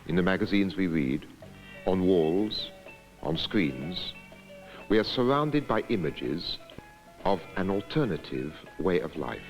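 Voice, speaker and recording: A man giving scripted documentary narration in a measured, deliberate, reflective way. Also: deep voice, very animated, soft background music, low-quality recording.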